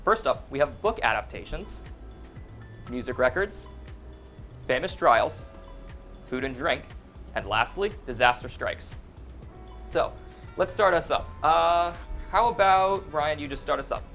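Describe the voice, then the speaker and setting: A young man hosts a student quiz show in a college TV studio, his voice sitting a bit high. He keeps things upbeat, laughing along and tripping now and then over the clues. Faint music plays underneath. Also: expressive, a little slow, long pauses, fairly bright tone. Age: young adult. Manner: upbeat, chuckles often, reads clues with slips. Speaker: game show host. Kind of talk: college game show hosting.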